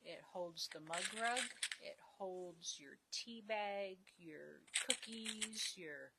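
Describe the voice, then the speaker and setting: A soft-spoken woman co-hosting a live sewing stream and passing along viewer comments. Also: on the low side, slow, gravelly, very noisy. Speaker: co-host reading viewer comments. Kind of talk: casual live-stream chat.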